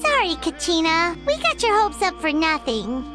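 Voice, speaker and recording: A woman voicing a small, chatty fairy-like girl companion in a video game, with a squeaky childlike voice and exclamatory delivery. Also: big pitch swings, normal speed. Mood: baffled. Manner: exclamatory, bubbly. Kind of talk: English video game voice acting. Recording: game music behind the voice. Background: game music.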